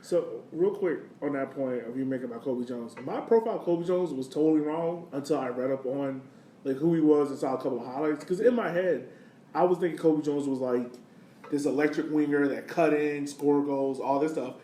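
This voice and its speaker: A man's voice: on the high side, brisk, mellow. A man hosting a sports podcast in a casual, conversational way, with informal phrasing. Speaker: podcast host. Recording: roomy, distant mic, faint noise.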